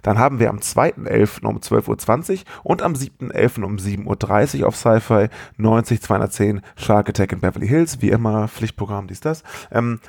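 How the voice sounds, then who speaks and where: A man's voice: on the low side, gravelly, darkish tone, breathy edge. A man chatting casually as a podcast co-host, enthusiastic, full of slang and swearing. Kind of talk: casual chat.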